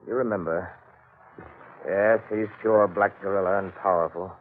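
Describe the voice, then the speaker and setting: An actor playing a domineering, sardonic but protective husband in a theatrical 1940s radio mystery style. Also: male voice, deep voice, steady tone, normal speed, natural voice. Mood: brooding, admiring. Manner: theatrical, emphatic delivery. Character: domineering, sardonic husband, turning frantic and protective under danger. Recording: tinny band-limited old radio recording.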